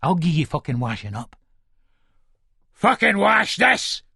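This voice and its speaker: A man's voice: sits high, moderate pace, a bit bright, no room sound, studio quality. A man acts out a menacing Scottish working-class father from a gritty story. He barks threats in a raised, hard-edged voice, foul-mouthed and aggressive. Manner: aggressive, barking orders and threats.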